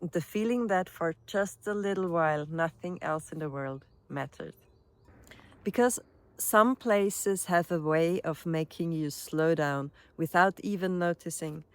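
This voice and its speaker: A woman with an Austrian accent giving a scripted promotional self-introduction as a wedding celebrant, in a reflective, measured manner. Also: on the low side.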